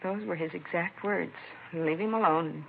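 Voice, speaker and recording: A tinny vintage radio recording of a distraught American mother in her forties. Her voice is on the low side and creaky, protective and troubled, in old crime drama style. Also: moderate pace, a little hiss or hum. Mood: upset, subdued. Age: middle-aged.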